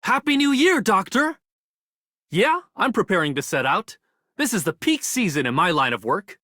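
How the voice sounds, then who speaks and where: A woman's voice: medium pitch, very animated, normal speed, pushed and bright, dry recording, clean studio sound. A woman voicing a hard-working, optimistic game character with a breezy, practical manner. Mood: upbeat. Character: hard-working, optimistic tradeswoman hoping for prosperity. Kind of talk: scripted game character greeting.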